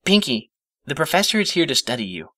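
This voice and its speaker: Man as an earnest, bright-voiced young mare. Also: a little high, very animated, a little fast, no room sound, studio quality, voice pushed up. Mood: curious. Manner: careful, reasoning. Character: earnest, polite, studious young female cartoon pony.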